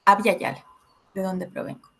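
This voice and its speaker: A woman from Ecuador in her thirties giving a reflective educational talk to a group, pausing often with fillers. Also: medium pitch, flat delivery. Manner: reflective, many filler hesitations. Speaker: workshop facilitator.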